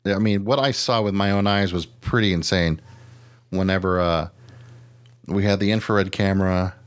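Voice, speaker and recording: A man's voice: low voice, fairly even intonation, normal speed, smooth. A man hosting a podcast with casual comedic banter, rambling and swearing freely as he goes. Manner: rambling, profane, easily sidetracked. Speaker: podcast host.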